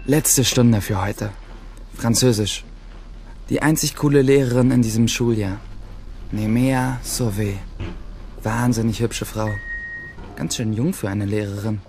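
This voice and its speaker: A man's voice: mid-range voice, unhurried, pauses often, a little mellow, slight background noise, natural voice. A man playing a wry, boastful teenage schoolboy in a radio drama, narrating his day in short clipped phrases. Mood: wry, bored. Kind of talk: first-person audio drama monologue.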